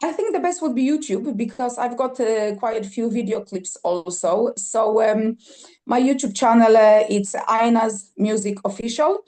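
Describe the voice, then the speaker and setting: Woman, fairly high voice. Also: darkish tone. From Poland. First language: Polish. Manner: enthusiastic, halting with many fillers and repeats. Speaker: singer-songwriter guest. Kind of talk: podcast interview.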